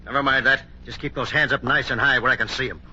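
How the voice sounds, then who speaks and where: An actor playing a cocky, menacing armed robber in a 1950s radio drama, with slangy, sneering delivery. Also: male voice, mid-range voice, steady tone, fast, sharp tone, husky. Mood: threatening. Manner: slangy, sneering tough-guy talk. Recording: dull, noisy old radio recording.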